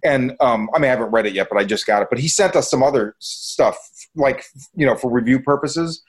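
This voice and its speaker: A man's voice: mid-range voice, a little fast, husky. A man hosting a podcast, chatting casually in a rambling, enthusiastic way. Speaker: podcast host. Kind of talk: casual review chat.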